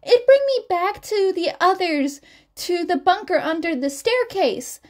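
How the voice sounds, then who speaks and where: Woman voicing a shrill, excitable teenage schoolgirl. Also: measured pace. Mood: scared, then thrilled. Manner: breathless gushing, almost squealing.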